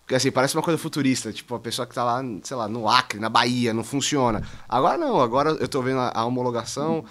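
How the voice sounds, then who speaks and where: A man's voice: mid-range voice, quick pace, few pauses, fairly bright tone. A Brazilian man as a podcast guest, chatting informally with slang and rambling asides. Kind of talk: casual chat.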